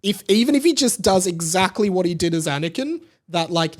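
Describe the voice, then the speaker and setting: A man's voice: high voice, expressive, a little fast. An Australian man hosting a podcast, giving casual, opinionated commentary in an emphatic, conversational way. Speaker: podcast host. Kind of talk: casual solo pop-culture commentary.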